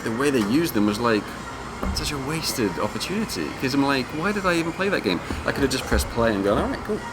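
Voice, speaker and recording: A man's voice: medium pitch, lively intonation, fast. A man being interviewed as an independent game developer, speaking casually and informally in rambling explanations. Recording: clearly noisy background hum.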